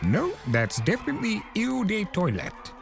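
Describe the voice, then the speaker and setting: A woman's voice: medium pitch, huge pitch swings, normal speed, gravelly, music in the background. A woman voicing a sardonic, suave male trickster creature with a drawling, theatrical delivery in a fan fiction reading. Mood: wry suspicion.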